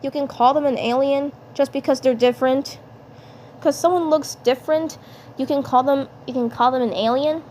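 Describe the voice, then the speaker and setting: A woman's voice: high voice, normal speed, darkish tone, a little hiss or hum, natural voice. A woman voicing a brash, exasperated cartoon schoolboy with a blunt, scolding way of talking.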